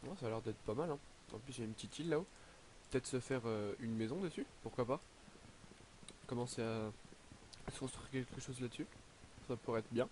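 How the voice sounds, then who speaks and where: A teenage boy narrating his game in a casual, hesitant way, filling pauses with "donc, euh" and sounding slightly stuffy from a cold. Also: medium pitch, a little mellow, slight background noise, rough recording. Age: teens. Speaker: gaming YouTuber. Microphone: headset.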